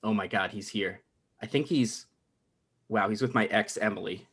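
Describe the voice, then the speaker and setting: An actor playing an anxious, resentful man in his thirties, with halting, defensive delivery in a naturalistic comedy table read. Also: on the low side, a bit bright, slightly rough. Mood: uneasy.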